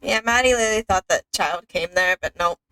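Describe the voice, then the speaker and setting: A woman's voice: on the low side, normal speed, sharp tone, poor audio. A woman gamer chatting casually with a friend during play. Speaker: gamer playing along with a friend. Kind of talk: casual gaming chat.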